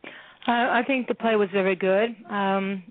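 A woman who hosts a radio show, speaking about acting in a play, halting with ums. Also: mid-range voice, steady tone, no room sound. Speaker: radio show host and actress. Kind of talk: casual radio discussion. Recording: phone-line radio call.

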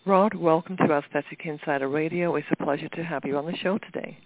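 A woman's voice: low voice, steady tone, quick pace. A woman hosting a radio interview, upbeat and chatty, with many "you know" fillers. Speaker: radio show host. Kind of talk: hosted interview chat. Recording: phone-like lo-fi line.

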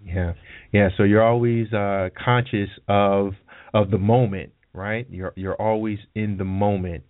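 A man hosting a podcast, talking haltingly with many fillers and stammered repeats. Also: on the low side, steady tone, dry recording. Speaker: podcast host. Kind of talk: conversational interview. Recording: tinny phone-like line, dry.

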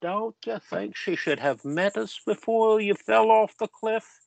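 A man's voice: high voice, unhurried, vocal fry, dry recording. A man playing a joking eldest brother who teases his younger sister. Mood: teasing. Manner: teasing, jokey banter. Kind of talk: amateur audio drama acting.

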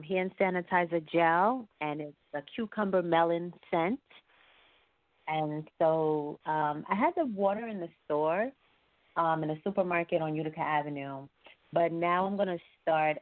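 A woman's voice: low voice, no room sound. A woman talking casually as an interview guest over a call. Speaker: guest, singer and entrepreneur. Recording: thin phone-like call audio.

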